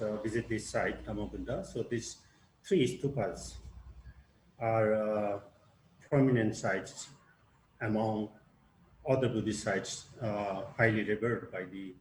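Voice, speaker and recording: A low-voiced man speaks haltingly, filling gaps with "uh" while he moderates an online talk. The call sounds roomy and echoey. A faint hiss sits under it. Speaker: webinar moderator presenting slides. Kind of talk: hesitant presentation with many fillers.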